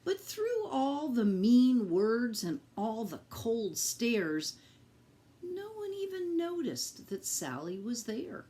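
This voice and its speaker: A woman reading a picture book aloud to children in an expressive, deliberate teacherly way, speaking directly to her young listeners. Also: a little high.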